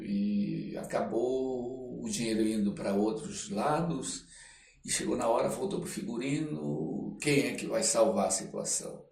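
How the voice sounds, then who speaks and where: An older man, reminiscing casually as an interview guest and often pausing to search for words. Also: lowish voice, vocal fry, darkish tone. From Belém, Pará, Brazil. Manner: halting, searching for words, frequent check-in tags. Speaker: podcast guest, theatre artist. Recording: roomy echo, off-mic, faint background noise.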